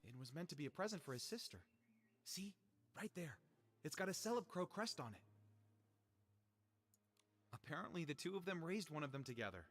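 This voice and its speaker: A man's voice: a little high, big pitch swings, fairly quick, long pauses, fairly bright tone, in a large hall, full character voice. An actor playing a sheepish, eager young male columnist who stammers out excuses in a theatrical video game style. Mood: explaining. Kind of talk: animated video game voice acting.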